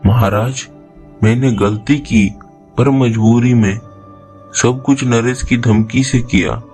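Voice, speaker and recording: A man's voice: mid-range voice, fairly even intonation, slow pace, a little mellow, soft background music, close to an everyday voice. A man voicing an earnest, hard-pressed young farmer in a cartoon moral story. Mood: pleading.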